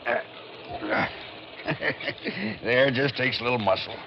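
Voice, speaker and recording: An actor playing a gruff, pushy tough guy in 1940s radio style, with slangy, bullying talk. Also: medium pitch, takes it slowly, lightly acted. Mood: sly, brusque. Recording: muffled old radio recording, noisy.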